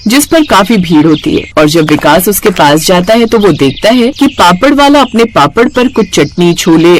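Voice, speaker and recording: A woman's voice: medium pitch, slight background noise. A woman reading a scripted story as its narrator.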